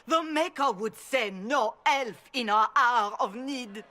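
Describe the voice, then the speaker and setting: Forceful, pompous preaching matriarch. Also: female voice, on the high side, slow pace. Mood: accusing. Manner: pompous, declamatory. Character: stern older Chantry mother denouncing a heretic. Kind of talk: public oratory in fantasy game cutscene.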